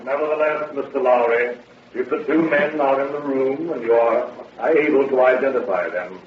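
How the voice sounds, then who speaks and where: Actor as a distant echoey stern judge. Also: male voice, medium pitch, moderate pace, dull audio, close to an everyday voice. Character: stern, formal male judge giving orders. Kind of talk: 1930s radio acting. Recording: distant, echoey, noisy old radio recording.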